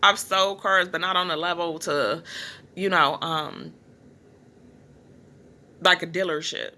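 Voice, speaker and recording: Woman vlogging, bright tense voice, rambles w lots of um and you know. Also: lowish voice, fairly even intonation, slow pace. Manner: rambling, many fillers. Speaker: small business owner. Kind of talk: casual vlog monologue.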